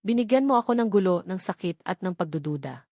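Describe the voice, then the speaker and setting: A woman voicing a young bride who once worked as a maid, speaking with a steady, grateful voice. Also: fairly low pitch, a little fast, no room sound, studio quality. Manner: steady, firm voice.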